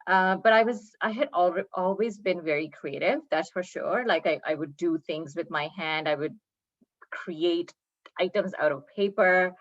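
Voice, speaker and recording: A woman's voice: on the low side, moderate pace, muffled recording. A woman in her thirties from Pakistan, chatting as a guest in an interview with lots of ums and small laughs. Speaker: poet and guest. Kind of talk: casual interview talk.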